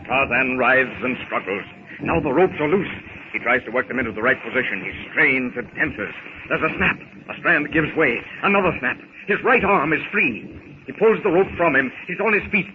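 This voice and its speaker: A man narrating a 1930s radio serial dramatically, in short, suspenseful, theatrical phrases. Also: medium pitch. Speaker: announcer and narrator. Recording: scratchy, tinny 1930s radio recording.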